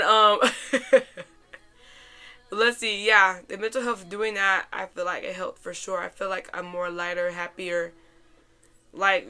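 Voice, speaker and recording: A young woman hosting a casual, chatty life-update podcast in an informal, slangy way, her voice a little raspy and hoarse. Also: on the low side, sharp tone. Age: young adult. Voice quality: slightly raspy, worn voice. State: hoarse after a weekend out. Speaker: podcast host.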